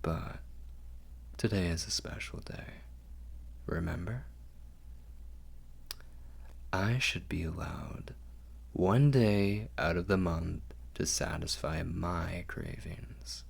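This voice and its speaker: A man's voice: sits low, slow pace, vocal fry, long pauses, breathy edge, full character voice. A man playing an ancient, possessive and doting vampire lord in an intimate ASMR roleplay, murmuring formally and drifting into whispers.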